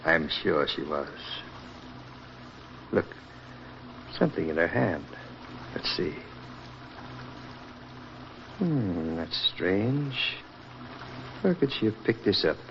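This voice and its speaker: A dull vintage radio recording with clear noise. a level-headed doctor with a deep voice. He is grave and deliberate, acted in 1940s radio drama manner. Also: sing-song, full character voice. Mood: grave, puzzled.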